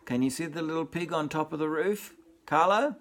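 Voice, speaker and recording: An older man reading a children's story as a grandfather, expressive and talking directly to young children. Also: on the high side, brisk.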